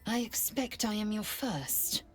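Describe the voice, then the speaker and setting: A woman playing a stern, haughty alien warrior in a fantasy video game, speaking in a clipped, disdainful, commanding way with a husky rasp. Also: mid-range voice, expressive, unhurried, faint music behind, lightly acted. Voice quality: husky, raspy.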